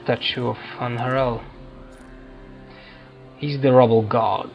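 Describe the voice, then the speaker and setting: A man doing casual let's-play commentary on a roleplaying video game, musing and haltingly thinking aloud. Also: medium pitch, fairly even intonation, creaky, highs cut off. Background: video game music.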